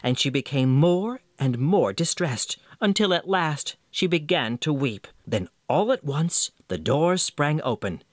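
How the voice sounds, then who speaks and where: Guy narrating a fairy tale, super expressive, pitch moving all over, dry close sound. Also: unhurried, hardly any pauses, no echo. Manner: theatrical, expressive. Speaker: storyteller.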